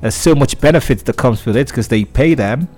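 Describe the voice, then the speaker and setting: A man's voice: mid-range voice, a little fast, darkish tone, husky. Chatty male radio host, faint music behind. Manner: chatty, filler-heavy, many restarts. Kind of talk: radio entertainment news chat.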